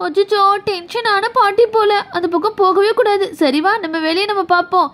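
Eager, exclaiming young girl for a children's toy tale, extremely high pitch, played by a woman. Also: fairly quick, fairly bright tone. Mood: cheerful. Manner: exclaiming, chatty. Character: cheerful, eager little girl playing with friends. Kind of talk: children's toy play story.

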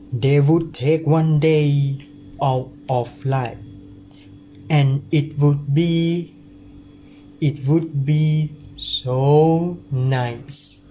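A young student with a Thai accent narrates a storybook-style cartoon script. The delivery is very slow, at a medium pitch. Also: warm tone, slight background noise, low-quality recording. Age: young adult.

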